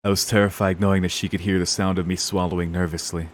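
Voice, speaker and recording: A man's voice: sits low, flat delivery, quick pace, slight background noise. A man streaming a visual novel, reading the narration aloud with dramatic, casual, sweary reactions. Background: game music.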